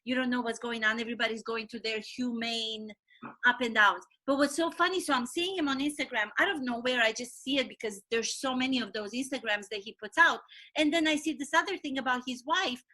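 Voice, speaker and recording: A woman hosting an interview, chatting in a gossipy way as an animated storyteller who acts out what others said. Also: on the high side, a little fast. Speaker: interview host.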